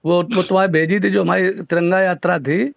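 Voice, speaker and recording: A man's voice: high voice, fairly even intonation, brisk, gravelly, no room sound. A man with a western Uttar Pradesh Hindi accent, a local politician arguing insistently and firing questions in a phone conversation. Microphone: telephone. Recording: dull lo-fi phone call recording.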